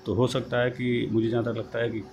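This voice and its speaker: A man giving an informal explanatory update, halting and rephrasing as he goes, mixing in English terms. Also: medium pitch, completely flat delivery. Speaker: host of a job-news channel. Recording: noisy home-made voice-over, background noise.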